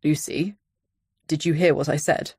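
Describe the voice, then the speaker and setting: Casually confident male house viewer played by a woman: low-pitched, breezy, probing, audiobook drama. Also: steady tone, measured pace, darkish tone, slightly rough, no echo, studio quality, exaggerated voice. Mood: insistent, polite.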